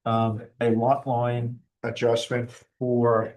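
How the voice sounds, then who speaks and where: A man's voice: mid-range voice, monotone, slow, darkish tone. A man chairing a town board meeting in an informal, plain-spoken way.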